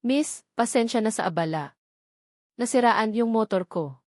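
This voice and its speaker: A woman's voice: a little high, expressive, unhurried, dry recording, studio quality. A woman with a Filipino accent voicing a polite, pleading young man from the city in a narrated story. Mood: pleading, worried.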